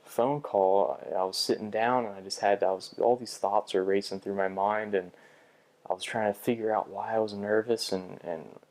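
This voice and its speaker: A man's voice: fairly low pitch, steady tone, moderate pace, darkish tone. A young man talking through a bad job interview in a personal vlog, hesitant, with frequent restarts and fillers. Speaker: vlogger.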